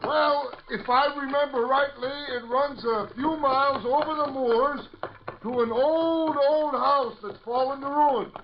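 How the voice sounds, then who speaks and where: An actor playing a hesitant rustic local in an old-time radio drama, talking in a halting, folksy way. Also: male voice, very high voice, steady tone. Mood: hesitant, recollecting. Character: hesitant rustic local man giving directions. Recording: muffled vintage radio recording, faint hiss.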